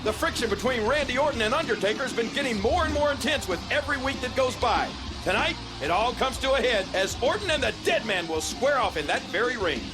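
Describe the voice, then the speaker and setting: A man's voice: very high-pitched, expressive, fast talker, few pauses, over music. An actor voicing a hyping wrestling color commentator in a video game, emphatic in his scripted lines.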